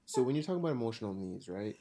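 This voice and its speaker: A woman co-hosting a casual podcast in an extremely low, mellow voice. She is blunt and teasing, swears casually, and repeats words as she gathers her thoughts. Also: big pitch swings, racing, husky. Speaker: podcast co-host.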